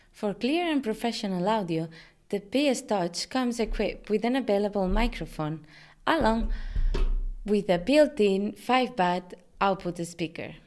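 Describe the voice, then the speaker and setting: A woman's voice: mid-range voice, darkish tone. A woman in her thirties presenting a scripted product tutorial in an upbeat, promotional way. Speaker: company presenter, digital marketing manager.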